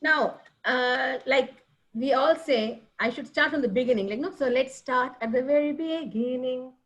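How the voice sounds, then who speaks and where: A high-pitched woman, talking over a video call. She speaks in a chatty, encouraging way, with plenty of fillers as she praises each member. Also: moderate pace. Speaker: general evaluator at a speaking club meeting. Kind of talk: online club meeting evaluation.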